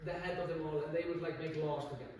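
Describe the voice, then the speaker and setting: A man's voice: on the high side, fairly even intonation, very fast, darkish tone. Icelandic man lecturing in an echoey room. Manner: rambling, filler-heavy, profane and jokey. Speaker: seminar presenter. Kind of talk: casual lecture with slides. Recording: echoey room, distant mic, faint noise.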